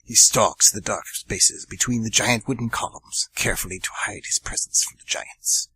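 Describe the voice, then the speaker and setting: A man's voice: lowish voice, moderate pace, sharp tone, slightly rough, low-quality recording. A man hosting an amateur radio theatre show, narrating the story earnestly and chatting between segments with casual fillers.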